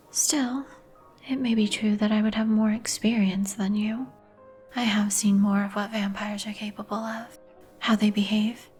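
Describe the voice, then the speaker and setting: A woman playing a melancholy, courteous vampire in a breathy whisper, with formal, old-fashioned phrasing for an ASMR roleplay. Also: mid-range voice, darkish tone, close to an everyday voice. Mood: reserved, courteous. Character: melancholy, courteous vampire woman, turned young some 150 years ago. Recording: music behind the voice. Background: music.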